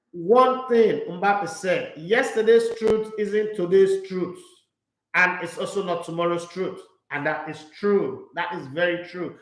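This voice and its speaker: A high-pitched man talks football in a loose, opinionated way and makes jokey asides. The room around him is echoey. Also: a bit bright. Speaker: sports talk show host. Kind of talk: casual sports talk, reading news and viewer comments.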